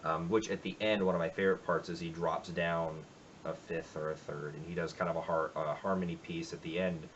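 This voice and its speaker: A man's voice: low voice, fairly even intonation, brisk, highs cut off, a little hiss or hum. A man talking casually and reflectively in his home music room about a song he covered, with lots of fillers. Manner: rambling, reflective, many fillers. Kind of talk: casual spoken intro to a cover.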